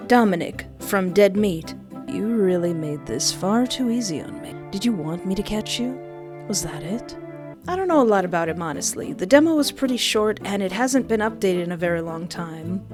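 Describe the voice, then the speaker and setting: A young woman hosting a countdown of game characters, excitable and goofy, often interrupting herself. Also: medium pitch, moderate pace, music in the background. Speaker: youtube host.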